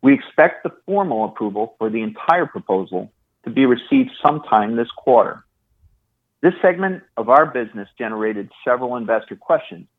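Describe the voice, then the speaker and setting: Formal male executive on a thin phone line. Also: mid-range voice. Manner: formal, measured. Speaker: chief executive. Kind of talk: prepared corporate remarks, read out. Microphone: phone call.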